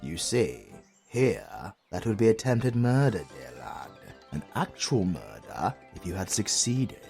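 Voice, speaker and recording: A man's voice: sits low, wildly swooping pitch, slow pace, creaky, darkish tone, soft background music, exaggerated voice. A British man playing a retired supervillain in a comedy audio roleplay, dry, pompous and articulate, with sardonic exasperation. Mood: patient, explaining.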